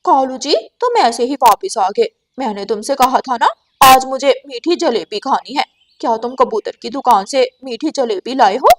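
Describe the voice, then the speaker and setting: A woman's voice: high-pitched, expressive, moderate pace. A woman voicing a greedy, demanding female crow in a cartoon, nagging and wheedling her husband.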